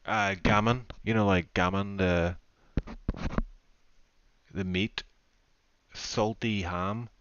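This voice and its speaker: A man's voice: lowish voice, fairly even intonation, takes it slowly, long pauses, a bit bright, slight background noise. A man hosting a racing league stream, chatting loosely and poking fun at himself. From United Kingdom. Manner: chatty, rambling, self-deprecating. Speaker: league race broadcast host. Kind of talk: casual post-race commentary. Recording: dull lo-fi stream audio.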